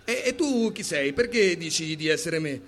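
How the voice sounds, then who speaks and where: A man's voice: fairly high pitch, expressive, normal speed, a bit bright. A man playing a distraught, confused dead man in a dramatic reading, agitated, pleading and defiant. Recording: clear background noise.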